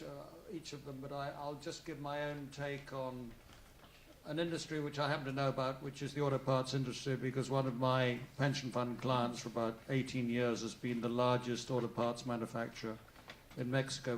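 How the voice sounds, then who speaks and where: A man's voice: medium pitch, level tone, brisk, a little hiss or hum. Older accented man moderating a conference panel, digressive, in an echoey hall. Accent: non-native English, foreign-accented. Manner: rambling, digressive, full of asides. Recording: roomy conference hall with faint ambience.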